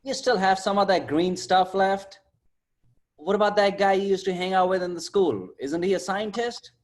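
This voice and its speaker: A man's voice: sits high, moderate pace, lightly acted. A man playing a cheeky, laid-back sidekick in a comic table read, slangy and full of wisecracks. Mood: curious, prodding. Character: cheeky, laid-back male sidekick teasing his buddy.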